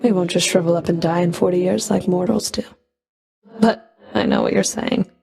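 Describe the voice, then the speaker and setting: A woman playing a stern, proud female Fury of the Greek underworld in a video game, speaking in a cool, clipped, disdainful way with a gravelly edge. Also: on the low side, steady tone, normal speed, mellow, heavily acted. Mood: curt, dry. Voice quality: gravelly, creaky. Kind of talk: scripted video game character dialogue.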